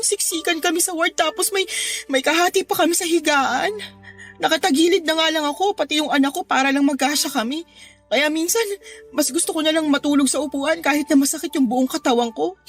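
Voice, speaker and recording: A woman's voice: sits high, lightly acted. An actress in a radio drama playing a tired, tearful young mother who complains plaintively about her hard life. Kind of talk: Tagalog radio drama acting.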